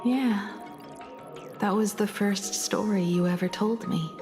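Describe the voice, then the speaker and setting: A woman's voice: mid-range voice, slow pace, lightly acted. Woman playing a composed, devoted grown daughter over music. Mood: heartfelt, reflective. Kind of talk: video game voice acting. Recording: music under the dialogue.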